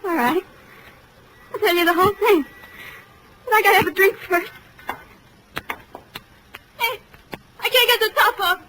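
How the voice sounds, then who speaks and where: A woman's voice: very high voice, a little slow, long pauses. An actress playing a distraught, nervous young woman in a 1940s radio detective drama. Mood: tense, urgent. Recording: old radio recording with faint noise.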